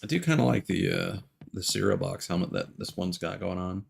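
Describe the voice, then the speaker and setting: A man with a fairly low voice talks through a game on a live stream. He rambles casually and drops slangy asides, with clear noise and clicks behind him. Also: a little fast. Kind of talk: casual live gameplay commentary.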